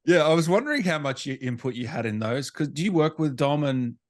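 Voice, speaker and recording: A man's voice: on the high side, animated, fairly quick. A man hosting an interview in a casual, enthusiastic, fan-like way. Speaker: interview host. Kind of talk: casual chat.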